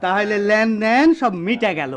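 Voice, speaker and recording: A practical go-between from a village radio play, acted by a man. His voice is high and he nudges people along plainly. He speaks with a rural Bangladeshi accent. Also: normal speed, close to an everyday voice. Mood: prompting. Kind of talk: Bengali radio play acting.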